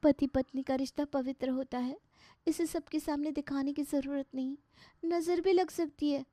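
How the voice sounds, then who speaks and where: A woman puts on a shy, pious young Indian bride for a story. The voice is raised and soft, with a demure, hesitant delivery. The sound is dry and close. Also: high voice, fairly even intonation, moderate pace, no room sound, voice pushed up, natural voice. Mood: shy, reluctant.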